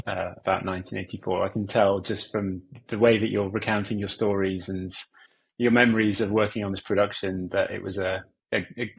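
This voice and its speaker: A man with a lowish, creaky and husky voice hosts an interview. He is courteous and slightly hesitant as he phrases his thanks and remarks. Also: low-quality recording. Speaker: guest host interviewer. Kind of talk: polite interview hosting.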